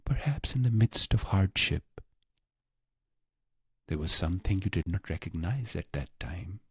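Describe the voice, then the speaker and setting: Male meditation narrator, unhurried and very breathy, husky, close and dry sound, scripted short phrases. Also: animated, dry recording. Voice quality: low, breathy, husky voice with creak. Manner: hushed, unhurried delivery in short phrases with long pauses. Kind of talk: slow, scripted guided meditation.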